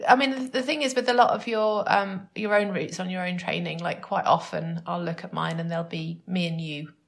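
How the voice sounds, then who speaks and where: A woman co-hosts a casual podcast in a gravelly, creaky voice. She is agreeable and chimes in with short yeses. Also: on the low side, steady tone, a little fast. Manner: agreeable, with frequent short affirmations. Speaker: podcast co-host.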